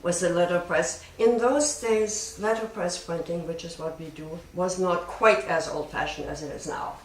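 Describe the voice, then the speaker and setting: An elderly woman, a printmaker recalling her work as an interview guest in a halting, reflective, plain-spoken way. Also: fairly low pitch, fairly even intonation. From born in Germany, raised in Japan. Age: 70s or older. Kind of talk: unscripted personal recollection. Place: print studio workshop. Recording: echoey, distant room with faint noise.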